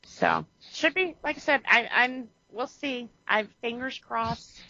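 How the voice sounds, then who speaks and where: A woman's voice: a little high, animated, slow pace, creaky, sharp tone, dry recording, dull audio. A woman co-hosting a podcast in casual chat, rambling and correcting herself as she goes, laughing often. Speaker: podcast co-host.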